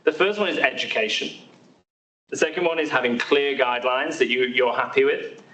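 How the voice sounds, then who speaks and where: Young British male doctor, friendly and halting, captured in a big echoey room. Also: mid-range voice, bright, forceful tone, dull audio, slight background noise. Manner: friendly, many fillers and restarts. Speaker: haematology doctor. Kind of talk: seminar talk to patients. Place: large echoey hospital hall. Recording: roomy hall sound from far off.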